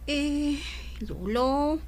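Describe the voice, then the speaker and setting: Squeaky, sneaky Filipino granddaughter. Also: female voice, extremely slow. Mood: evasive, flustered. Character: sneaky, evasive young granddaughter caught stealing. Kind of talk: radio comedy drama acting.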